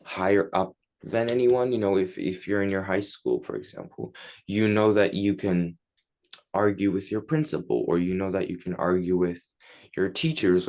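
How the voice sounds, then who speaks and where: A man's voice: sits low, a little mellow. A teenage man from Turkey, answering interview questions in casual, chatty English. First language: Turkish. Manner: chatty, many likes and you-knows. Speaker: guest.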